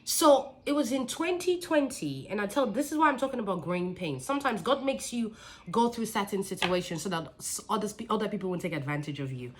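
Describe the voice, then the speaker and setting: A woman's voice: medium pitch, expressive, brisk. A woman with a Nigerian accent telling a personal story in a casual vlog, emphatic and repeating phrases for stress. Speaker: vlogger, business owner.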